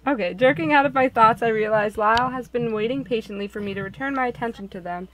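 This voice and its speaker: A woman's voice: fairly high pitch, fast, a bit bright, lightly acted, rough recording. Woman reading as earnest visual novel narrator. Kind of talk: expressive storybook-like narration.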